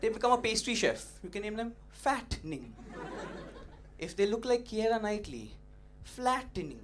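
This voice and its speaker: A young man doing an open-mic stand-up set, punchy and animated, teasing the audience, on a stage mic. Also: sits high, moderate pace. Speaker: stand-up comedian. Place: comedy club stage. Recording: noisy live club recording.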